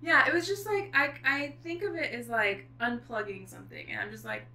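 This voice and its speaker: A middle-aged woman guest author chatting casually, telling stories in an animated way with thoughtful pauses. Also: fairly high pitch. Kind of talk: casual chat. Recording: echoey room with faint noise.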